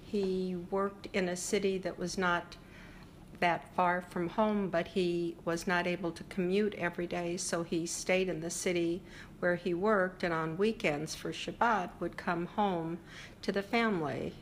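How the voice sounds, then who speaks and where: An older woman being interviewed about her family history, speaking reflectively and pausing often with fillers. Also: lowish voice, steady tone, a little hiss or hum. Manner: reflective, hesitant with frequent fillers.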